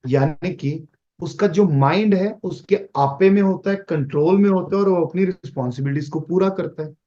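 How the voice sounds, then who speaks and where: High-voiced man teaching a class. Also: darkish tone, muffled recording. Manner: lecturing, mixes English phrases into Hindi, asks rhetorical questions. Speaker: Vastu teacher. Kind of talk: online class lecture.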